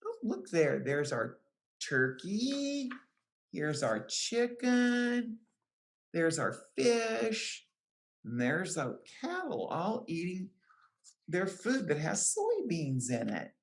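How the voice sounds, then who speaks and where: Echoey room, woman doing kids storytime read aloud, slow n careful, really up and down intonation. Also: lowish voice, big pitch swings. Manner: careful, deliberate phrasing with short explanatory asides to children. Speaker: children's book reader, extension educator. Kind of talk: picture book read-aloud for young children. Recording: roomy, echoing sound.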